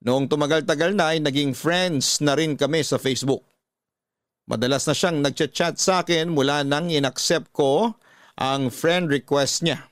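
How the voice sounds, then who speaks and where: A man's voice: a little high, normal speed, no echo, clean studio sound. A man with a Filipino accent narrating a scripted first-person story as host of a radio drama.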